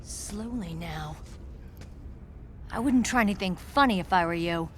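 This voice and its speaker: An actress playing a tough, guarded young soldier with a commanding, measured manner. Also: female voice, fairly low pitch, lively intonation, fairly bright tone, a little hiss or hum. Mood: commanding, wary.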